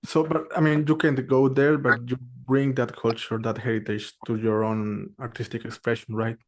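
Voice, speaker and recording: A male interviewer who builds his questions slowly, stumbling over and repeating words as he goes. His voice is at a middling pitch for a man. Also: little pitch movement. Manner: halting, repeats words while forming questions.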